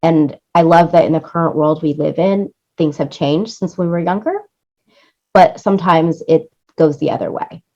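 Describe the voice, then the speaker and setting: Female interview guest, lowish voice, effusive and emphatic with repeated phrases, on slightly muffled lo-fi audio. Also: normal speed, darkish tone. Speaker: interview guest, music supervisor and producer. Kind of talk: enthusiastic interview conversation.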